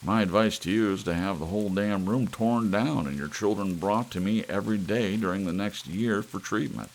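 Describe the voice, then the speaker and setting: Man acting a grave, blunt psychologist, gravelly voice. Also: on the low side, normal speed, lightly acted. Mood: grave, dismissive. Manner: emphatic, admonishing. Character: blunt, grave male psychologist warning a friend. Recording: audible hiss with rain-like noise.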